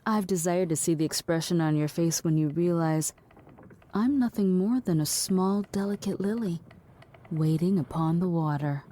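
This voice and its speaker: Actress doing a defiant teasing spy lady in a radio play, lowish and soft toned. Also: moderate pace, natural voice. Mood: seductive, taunting. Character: taunting seductive female suspected spy, poised and defiant. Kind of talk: theatrical audio drama acting.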